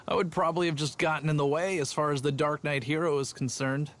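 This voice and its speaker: A man's voice: fairly high pitch, fairly even intonation, brisk, a bit bright, slight background noise, close to an everyday voice. Man playing a chatty, sheepish city guard. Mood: storytelling. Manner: chatty and boastful, a bit sheepish. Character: young male city guard, chatty, cowardly. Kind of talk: video game voice acting.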